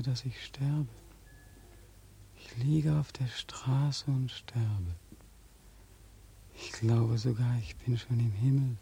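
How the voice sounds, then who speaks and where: An actor playing a bitter, despairing young war veteran in a 1940s German radio drama, anguished, sardonic and accusing. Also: male voice, medium pitch, creaky, mellow, breathy edge, exaggerated voice. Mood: dazed, weary. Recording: dry 1940s radio studio recording.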